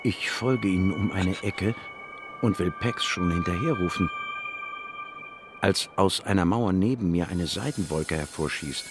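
An actor playing an inquisitive biologist narrating a space expedition in an audio drama, in a measured, explanatory manner. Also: male voice, deep voice, noisy background. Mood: absorbed, serious.

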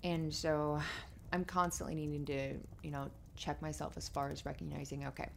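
Low, creaky-voiced affectionate girlfriend played by a woman. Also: little pitch movement, moderate pace. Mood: tender, reassuring. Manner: soft, giggly, conversational, drifting into breathy murmurs. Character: affectionate, playful, reassuring successful writer girlfriend. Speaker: audio roleplay performer. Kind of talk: intimate girlfriend roleplay ASMR. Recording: noisy fireplace ambience behind voice. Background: crackling fireplace.

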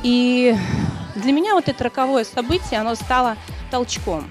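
Woman makeup trainer telling her story, thoughtful, high-ish voice. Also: animated, normal speed, loud music behind the voice. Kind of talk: personal story.

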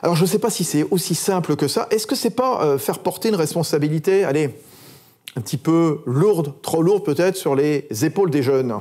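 A man's voice: fairly high pitch, brisk, a little mellow. A man in his forties interviewing a guest on a studio set, chatty, with many fillers and repeated words. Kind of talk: televised interview.

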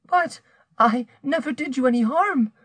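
A terrified young wife from a storybook melodrama played by a male narrator. Her voice sits very high, far above natural speech, imploring and desperate. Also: unhurried, darkish tone, no room sound. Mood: terrified pleading. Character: terrified pleading young woman, guilty adulterous wife.

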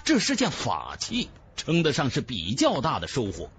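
A man's voice: medium pitch, wildly swooping pitch, moderate pace, a bit bright, a little hiss or hum. A man narrating a fantasy audiobook in a dramatic scripted style.

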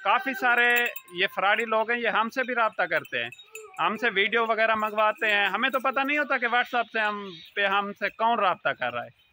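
A man's voice: high-pitched, expressive, moderate pace, bright, forceful tone, a little hiss or hum. A man in his thirties from Pakistan, speaking, earnestly warns viewers straight into a phone held at arm's length at his outdoor goat farm and keeps calling them his brother. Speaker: goat farm owner and channel host. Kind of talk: selfie-video warning talk to viewers. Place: outdoor goat farm pen, among goats and trees. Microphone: phone front camera at arm's length.

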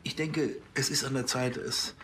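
An actor playing a fussy, self-assured middle-aged police chief in a TV drama. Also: male voice, mid-range voice, steady tone, moderate pace, a bit bright, close to an everyday voice. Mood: awkward, earnest. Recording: some background noise and ambience.

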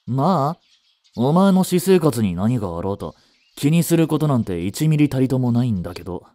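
A man playing a brusque teenage schoolboy, a gruffly caring childhood friend with blunt, casual speech, in a romantic voice drama. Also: medium pitch, very animated, moderate pace, warm tone, no echo, lightly acted. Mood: annoyed concern. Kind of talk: Japanese romantic situation voice drama.

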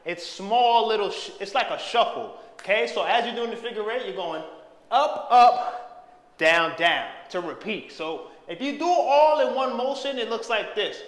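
Young male dance teacher, high lively voice, calls and counts out steps while moving, bare echoey room. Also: measured pace. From Haitian background. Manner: energetic, hypes and shouts while dancing. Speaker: dance instructor. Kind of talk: dance tutorial, counting and calling moves. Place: empty room with bare walls, indoors.

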